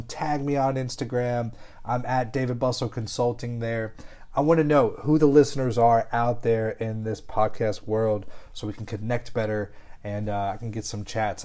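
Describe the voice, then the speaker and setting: A man hosting a solo marketing podcast while congested with a head cold, talking in an energetic, casual, pep-talk way. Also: medium pitch. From Kentucky. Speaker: podcast host.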